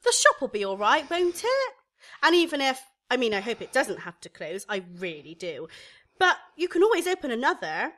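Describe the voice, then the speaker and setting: A woman's voice: high voice, very animated, moderate pace, fairly bright tone. A woman acting in a radio play comedy as a chatty, aggrieved young shop assistant, colloquial, gossipy and blunt. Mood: sympathetic, wary.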